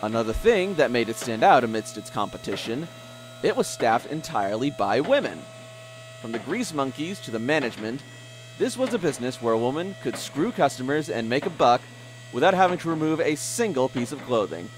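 A man's voice: mid-range voice, very animated, brisk. A man narrating a scripted audio drama in a wry storytelling manner. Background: music.

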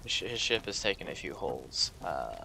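Male gamer, lively intonation, dry sarcasm, casual guide to friends, faint background noise. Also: deep voice, a little fast, creaky. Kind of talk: casual game chat. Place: voice chat over a video game.

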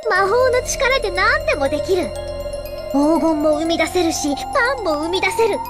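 A woman voicing a gleeful, creepy little girl with a squeaky, sing-song voice in an anime visual novel. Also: lively intonation, normal speed. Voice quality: childlike, squeaky. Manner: giggly sing-song boasting. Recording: tinkling music behind the voice.

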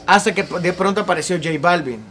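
A young man in his twenties with a Salvadoran accent, a singer telling his story at length in a relaxed interview on an outdoor patio. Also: high-pitched, a little fast, slight background noise. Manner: earnest, talks at length with ease. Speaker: singer and guest. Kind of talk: casual interview.

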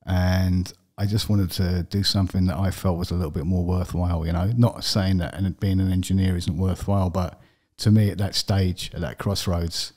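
A middle-aged man from London with a London accent, a podcast guest telling his story plainly, with steady fillers. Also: deep voice, normal speed, hardly any pauses. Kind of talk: personal interview. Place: podcast studio with brick wall. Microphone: studio desk mic with headphones.

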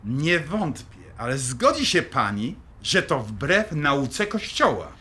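A man's voice: mid-range voice, big pitch swings, slow, bright, forceful tone, heavily acted. An actor playing a stern, middle-aged father in an audio drama, blunt, commanding and dismissive. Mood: defensive.

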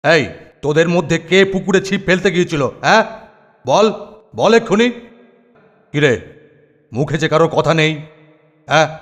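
A man's voice: on the high side, moderate pace, long pauses, a bit bright, close to an everyday voice. A man voicing a strict, blustering boarding-school master in a comic cartoon, with an exaggerated voice. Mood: stern. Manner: stern, blustering. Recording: long hall reverb, faint music.

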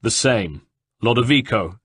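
A man's voice: medium pitch, expressive, measured pace, fairly bright tone, slightly rough, no room sound, studio quality. A man voicing a cool, ironic Italian nobleman villain who bargains with menace in an audiobook. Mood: ironic politeness. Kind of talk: audiobook character dialogue.

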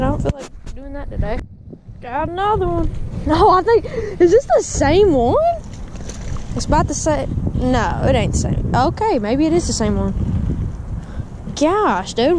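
A young man, chatting casually to viewers while fishing at a pond, calling them guys and dude. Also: very high voice. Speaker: fishing vlogger. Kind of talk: casual vlog commentary. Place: outdoors by a windy pond. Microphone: body-mounted action camera. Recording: wind noise outdoors.

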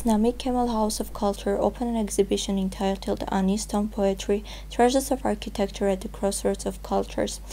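A woman's voice: mid-range voice, dark tone, a little hiss or hum. A young woman reading scripted news in a formal manner as a presenter. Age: young adult.

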